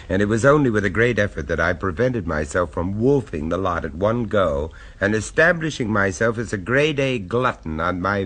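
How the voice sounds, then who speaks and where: A wry, deep-voiced dinner guest. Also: male voice. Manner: wry, genteel, faintly amused. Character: skeptical English dinner guest, first-person narrator. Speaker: narrator and diner. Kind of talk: 1970s radio drama acting. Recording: old radio broadcast recording.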